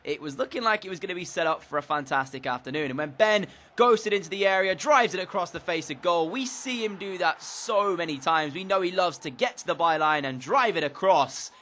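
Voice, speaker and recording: A young man with a bright, forceful voice pitched a bit high, talking through football matches like a commentator. His nose is a little blocked from a cold, and he scatters fillers through his upbeat delivery into a desk mic. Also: brisk, few pauses. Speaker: gaming YouTuber. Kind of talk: recap commentary of a football career mode.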